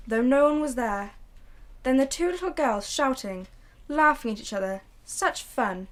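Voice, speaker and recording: A young woman narrating and announcing in a recreated 1940s radio drama. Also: a little high. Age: young adult. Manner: measured, storytelling.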